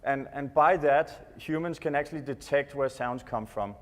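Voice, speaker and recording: A man's voice: mid-range voice, moderate pace. A Danish man with a Danish accent giving a live product presentation in a hesitant, explanatory way. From Denmark. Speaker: tech presenter. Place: large indoor conference stage. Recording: echoey hall PA reverb.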